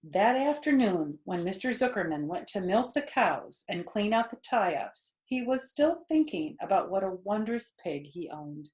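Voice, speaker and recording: An older woman reading a children's storybook aloud, with expressive, deliberate phrasing. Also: medium pitch. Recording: echoey room.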